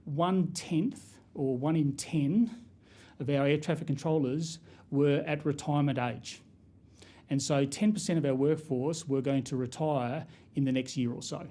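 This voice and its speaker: A middle-aged man with an Australian accent, answering interview questions as a chief executive in a deliberate, formal way. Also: a little high, dark tone. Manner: deliberate, formal, corporate phrasing.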